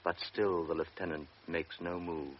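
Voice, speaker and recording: A man narrating a radio drama in a measured, quiet way. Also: low-pitched, steady tone. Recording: dull old radio recording with music.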